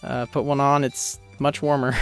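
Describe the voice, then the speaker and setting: A man's voice: medium pitch, little pitch movement, moderate pace. A man giving casual, hesitant and self-deprecating commentary as a creator on his own episode. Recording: music and episode audio underneath. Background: cartoon soundtrack playing underneath.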